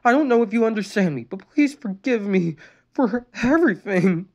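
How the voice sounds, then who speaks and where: A man's voice: sits high, animated, normal speed, dull audio, pitched above the performer's own voice. A man doing a tearful, pleading teenage girl.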